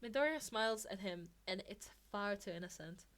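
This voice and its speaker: A woman's voice: mid-range voice, expressive, moderate pace, fairly bright tone. A woman narrating fan fiction as an amateur podfic reader.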